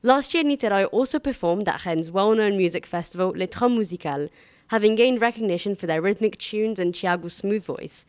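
A woman's voice: medium pitch, fairly quick, dry recording. Woman hosting a radio music show. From Brazil. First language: Portuguese. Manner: steady, informative read from a script. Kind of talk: scripted radio announcing.

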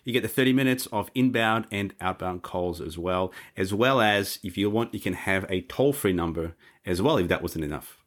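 A man's voice: low voice, moderate pace, hardly any pauses, a bit bright. A man co-hosting a casual tech podcast, talking with an eager, promotional enthusiasm. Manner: enthusiastic, promotional, repeats "which is fabulous". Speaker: co-host.

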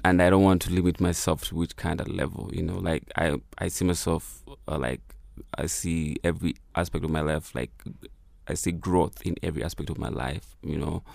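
A man's voice: low-pitched, steady tone, moderate pace, creaky, a little mellow, no room sound, studio quality. A man who grew up in Kenya, with Swahili as his first language, talks reflectively as a musician guest in a casual interview, full of fillers and restarts. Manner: reflective, many fillers and restarts, laughs easily.

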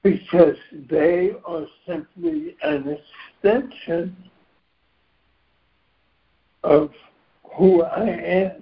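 A hoarse man speaks very slowly and deliberately, his pitch sitting a bit high for a man. He keeps clearing his throat as he shares his spiritual reflections. The phone line sounds dull and lo-fi. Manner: slow and measured, clears his throat often. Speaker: participant in a spiritual reading group call. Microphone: phone on a conference call. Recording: dull lo-fi conference call line.

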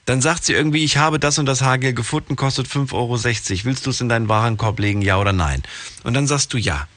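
Radio host, man, mid-pitched, chatty and exasperated, dry close studio sound. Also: fast, no room sound. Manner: animated, exasperated, full of restarts. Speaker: radio talk show host. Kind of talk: casual radio phone-in talk.

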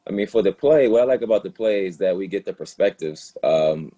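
A man's voice: deep voice, lively intonation, a little fast, warm tone. A man speaking as an actor in a casual, reflective discussion, earnest and rambling. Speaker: actor in a play reading, answering questions.

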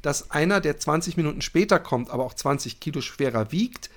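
A man co-hosting a podcast, chatting casually and humorously, telling things like an animated storyteller. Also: a little high, brisk. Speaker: podcast co-host.

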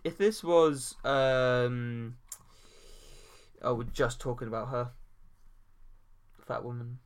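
A man's voice: medium pitch, steady tone, slow. A man co-hosting a film podcast, giving blunt, laconic opinions in a casual chatty style. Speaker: podcast co-host. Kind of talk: casual film review chat.

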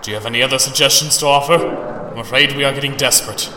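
A man's voice: mid-range voice, fast talker, background noise, lightly acted. A man playing a dutiful army general in monster audio drama dialogue. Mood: grim, desperate. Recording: echoey room under music.

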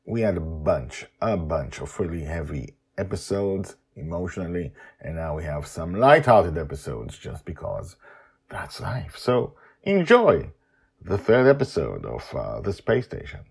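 A man hosting a story podcast and reading his own tale in an animated, emphatic way with short dramatic phrases. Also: deep voice, unhurried, gravelly, breathy edge.

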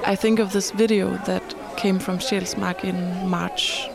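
Reflective, creaky-voiced woman with crowd behind. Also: mid-range voice, flat delivery. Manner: thoughtful, pausing mid-sentence. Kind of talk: reflective spoken account. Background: crowd and ambient noise.

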